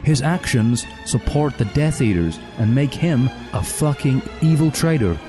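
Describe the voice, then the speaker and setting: A man's voice: medium pitch, expressive, unhurried, natural voice. Man acting evil professor, smug, sneering, scheming capitalist, satirical story reading, music behind. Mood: menacing.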